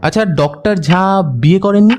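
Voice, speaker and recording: An actor playing a composed, polite but insistent private detective in an audio drama, speaking in a measured way. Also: male voice, high-pitched, darkish tone, a little hiss or hum, lightly acted. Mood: probing, polite. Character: composed, probing male private detective questioning suspects. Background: background music.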